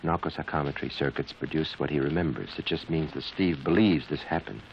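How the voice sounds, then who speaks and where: An actor playing a calm, commanding scientist in a 1950s radio drama, with a measured, authoritative and clinical manner. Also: male voice, rumbling low, gravelly. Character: calm, commanding male scientist running a mind-probe experiment. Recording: muffled, noisy old radio recording.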